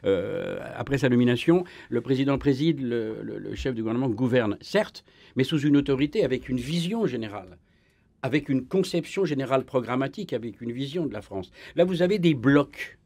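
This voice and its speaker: A man speaking as a historian guest in a radio debate, emphatic and full of restarts, his pitch rising when he presses a point. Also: lively intonation, a little fast, creaky, darkish tone, dry recording. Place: radio studio.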